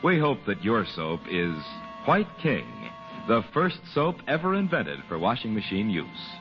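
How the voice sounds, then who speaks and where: Male vintage radio announcer: formal, emphatic sales read with big swings in pitch, dull archival sound. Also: big pitch swings, measured pace. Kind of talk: scripted old-time radio commercial and sign-off. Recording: dull old radio recording. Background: music.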